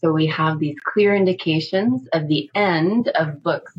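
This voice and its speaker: A woman professor calmly and methodically explaining a teaching on a podcast. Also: low voice, measured pace, lo-fi sound, a little hiss or hum. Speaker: Hebrew Bible professor. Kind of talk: explanatory academic talk.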